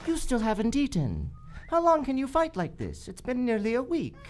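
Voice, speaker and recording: A woman's voice: on the high side, huge pitch swings, normal speed, a little mellow. A woman playing a solemn, menacing jungle priest in a radio adventure, speaking in formal, grandiose phrases. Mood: soothing, ominous. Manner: formal, grandiose, refers to self in third person. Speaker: actor in live radio theater. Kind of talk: melodramatic radio adventure acting. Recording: live stage recording with faint music.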